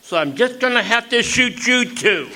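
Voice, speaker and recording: An actor playing a smug criminal mastermind in a noir parody radio drama, mocking and drawling with menace. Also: male voice, very high-pitched, expressive, normal speed, pushed and bright, exaggerated voice. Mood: gloating. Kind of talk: stage radio drama acting.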